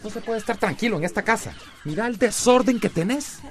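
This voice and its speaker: An actor playing an irritable middle-aged husband and father in a radio soap, with a Costa Rican accent and a gruff manner. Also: high voice, expressive, fairly quick. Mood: angry, bitter. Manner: gruff, scolding, contemptuous. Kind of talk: radio soap opera acting.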